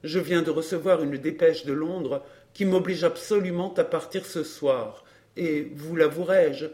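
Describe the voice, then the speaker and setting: A man's voice: a little high, a little slow, mellow, lightly acted. A man acting a suave, scheming suitor in a dramatised audiobook, with formal, theatrical and impassioned delivery. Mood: earnest pleading. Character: Georges, suave scheming suitor, pleading then violent.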